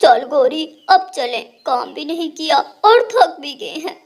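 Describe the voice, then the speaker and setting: Someone voicing a lazy cartoon crow husband, grumbly, pretty high pitched voice. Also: animated, a little slow. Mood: sulky.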